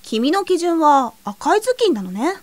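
A woman playing a playful, unafraid seventeen-year-old girl in a fairy-tale audio drama, gently teasing and unruffled. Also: sits high, sing-song, a little slow, dry recording. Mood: curious, unfazed. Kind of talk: scripted audio drama acting. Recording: music behind the voice.

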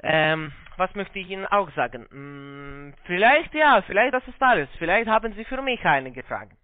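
A man with a foreign accent giving a halting, repetitive, polite phone sales pitch in broken, non-native German. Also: animated, dry recording. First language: not German. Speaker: call center investment salesman on a scam call. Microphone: phone call. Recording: narrow-band phone line sound.

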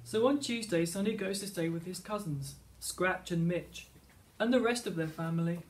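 Englishman, children's story narrator, notably high male voice, slightly distant from the microphone. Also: moderate pace. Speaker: teacher reading a picture book aloud.

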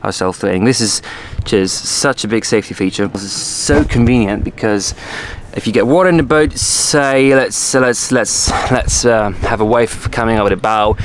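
A man presenting an informal walkthrough of his boat as its owner, explaining things casually and correcting himself as he goes. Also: lowish voice. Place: outdoors on an open motorboat on the water. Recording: outdoor ambient noise on boat.

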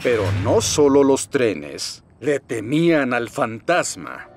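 A man's voice: medium pitch, big pitch swings, takes it slowly, vocal fry, a little hiss or hum, full character voice. A man with a Latin American Spanish accent narrating a children's story expressively. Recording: music behind the voice.

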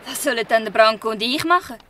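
An actress plays a teenage girl who leads a gang in a Swiss German radio drama. Her voice is high, bright and forceful, proud and defiant. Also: fast. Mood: surprised, softening.

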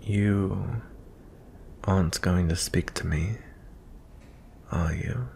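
Deep, slow, gentle fantasy prince acted by a man. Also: creaky, a little hiss or hum, exaggerated voice. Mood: apologetic, gentle. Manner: soft-spoken, hesitant, breaking into whispers. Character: gentle, merciful young fantasy prince, male. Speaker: audio roleplay performer. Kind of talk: intimate ASMR fantasy roleplay acting.

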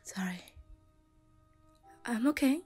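A woman voicing an obsessive, self-aware anime schoolgirl in an ASMR roleplay, soft and often whispering, shy, hesitant and stammering as she pleads. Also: on the high side, animated, slow pace, slightly rough, soft background music, exaggerated voice. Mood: hesitant, apologetic.

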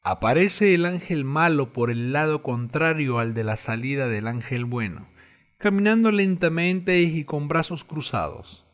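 A man's voice: a little high, unhurried, highs cut off. A man giving scripted narration for an educational radio drama.

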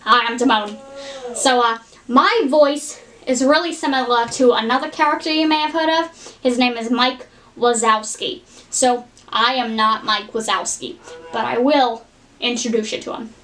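A woman doing an impression of a wisecracking male cartoon meerkat, glum and theatrical with drawn-out delivery. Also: a little high, a bit bright, lightly acted, low-quality recording. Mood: casual.

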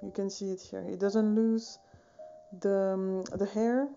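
A woman in her thirties from Switzerland, chatting casually about her painting in a relaxed, rambling way, with many fillers and small self-corrections. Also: medium pitch, unhurried, creaky, smooth, muffled recording, faint music behind. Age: 30s. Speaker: painting vlogger. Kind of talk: casual tutorial chat. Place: home studio room, indoors, wooden shelves. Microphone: phone camera at desk distance.

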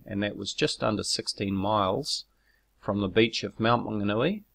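A man talks through his own footage in a measured, explanatory way, pausing on ums and correcting himself. His voice sits very low with a gravelly fry, and he grows argumentative when answering critics. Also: a bit bright. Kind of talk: narrated screen walkthrough.

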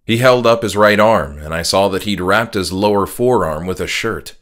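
A man's voice: deep voice, normal speed, a bit bright. A man who is an author reading his own horror story as dramatic first-person audiobook narration.

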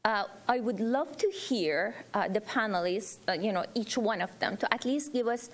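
Woman, from Iran, mid-pitched voice, earnest, emphatic, forum audience speaker, repetition for stress. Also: lively intonation, normal speed. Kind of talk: impromptu public remarks to a panel.

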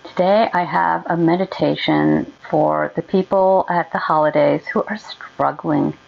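A woman leading a guided meditation in a gentle, soothing, unhurried way with long pauses. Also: low-pitched, steady tone, gravelly, highs cut off.

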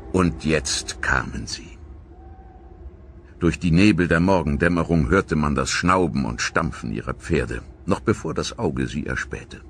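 A man giving dramatic scripted documentary narration with theatrical, deliberate storytelling. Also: a bass voice, fairly bright tone, a little hiss or hum.

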